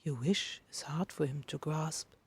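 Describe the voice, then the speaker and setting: A woman narrating a dramatic fiction reading in a hushed, intimate manner with deliberate phrasing. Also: deep voice, husky. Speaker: podfic narrator.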